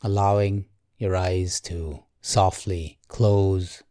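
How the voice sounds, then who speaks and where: A man guiding a meditation in a calm, gentle, measured way, leaving long pauses. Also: low-pitched, dry recording, clean studio sound. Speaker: meditation guide. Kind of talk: guided meditation, slow and soothing.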